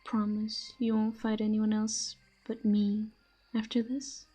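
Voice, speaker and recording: A woman's voice: medium pitch, mellow, breathy edge. A woman playing a possessive, flirtatious fantasy villain in a roleplay audio drama, teasing and smug, speaking intimately to the listener.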